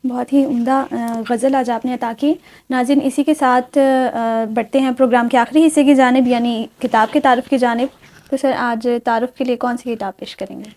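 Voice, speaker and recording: Female host, mellow timbre, slightly high register, courteous formal literary presentation. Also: fairly even intonation, moderate pace. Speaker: talk show host. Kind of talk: formal literary show hosting.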